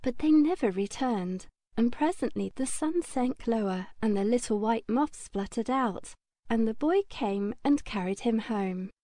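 A woman narrating a children's audiobook in a gentle, measured storytelling manner. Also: fairly high pitch, expressive, dry recording.

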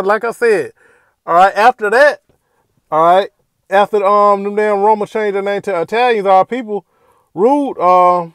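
A man's voice: sits high, fairly bright tone, smooth, no room sound, studio quality. A man giving casual, rambling commentary over a video, leaning on fillers like "all right" and "man". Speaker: commentator reacting to a street video.

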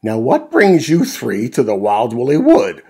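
A man voicing an upbeat, helpful male tree doctor in brisk, cheerful rhyming patter. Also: mid-range voice, huge pitch swings, dark tone, slightly rough, exaggerated voice.

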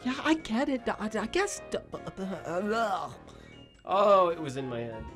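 A woman's voice: mid-range voice, big pitch swings, unhurried. A woman voice actor in a recording studio, delivering scripted lines and chatting between takes. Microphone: studio mic, headphones. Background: music.

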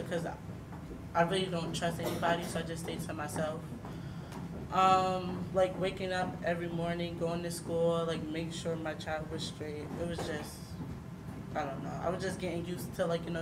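A young American woman speaking candidly and informally as a panelist, haltingly, with lots of "like", into a handheld mic in a meeting hall. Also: fairly low pitch. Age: young adult. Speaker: panelist, young mother sharing experience. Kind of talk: candid personal testimony at a panel. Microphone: handheld microphone. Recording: handheld mic, noisy room.

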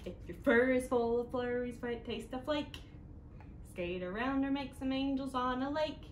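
A woman's voice: high-pitched, normal speed. A young woman leading a picture-book storytime for children, talking to them encouragingly and inviting them to join in. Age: young adult. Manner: child-directed, encouraging participation. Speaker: children's librarian reading storytime. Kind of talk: picture-book storytime read-aloud, partly sing-song. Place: small indoor room on a couch. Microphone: camera a few feet away. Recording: echoey room, some background noise.

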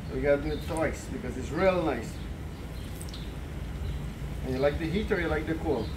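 A middle-aged Guyanese man talks casually while frying food outdoors, easygoing and teasing. His fairly high voice sits some way off from the camera. Clear outdoor noise runs behind him. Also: normal speed. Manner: easygoing, teasing, chuckles often. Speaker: co-host cooking on camera. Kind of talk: casual cooking chat. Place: covered outdoor porch. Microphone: camera several feet away. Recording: distant mic, outdoor background noise.

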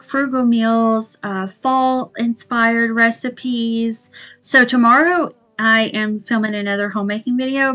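A woman, chatting casually to camera in her kitchen about homemaking, rambling with fillers. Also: on the high side. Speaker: homemaking vlogger. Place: small home kitchen, indoors. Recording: soft background music.

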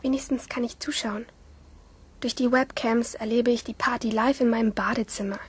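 A woman's voice: a little high, steady tone, normal speed, breathy edge. A woman playing a lonely, curious teenage girl who narrates a radio drama as an intimate inner monologue. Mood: wistful, secretive. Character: lonely, curious teenage girl watching life through screens.

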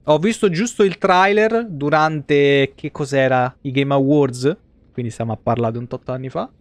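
A man's voice: mid-range voice, moderate pace, no room sound. A man giving casual, foul-mouthed and jokey live game commentary in slangy speech. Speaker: video game streamer.

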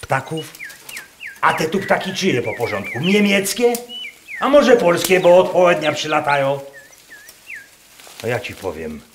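An actor playing a gruff, proud rustic Masurian man in a radio drama, speaking folksy dialect in a probing, declamatory way. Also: a little high, very animated, a little slow, long pauses, background noise. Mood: proud, insistent. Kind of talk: theatrical radio drama dialogue. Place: performed live in a wooden barn.

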